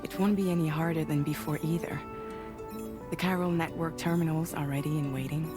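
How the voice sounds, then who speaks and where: Low-voiced actress as a composed woman guide. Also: steady tone, a little fast, natural voice. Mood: calm, assured. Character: composed woman guide briefing a courier, quietly caring. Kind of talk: video game cutscene dialogue. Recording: music behind.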